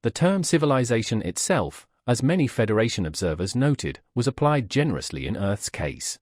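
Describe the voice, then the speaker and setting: A man's voice: mid-range voice, sing-song, normal speed, no echo, studio quality. A man narrating a scripted science fiction story in a wry storytelling style.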